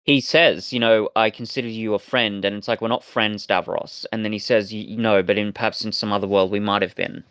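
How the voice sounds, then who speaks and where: A man speaks as a podcast co-host in a very dry, close recording. His review talk is casual and reflective, with halting phrasing as he forms each thought. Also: on the low side, steady tone, a bit bright, clear, resonant tone, no echo.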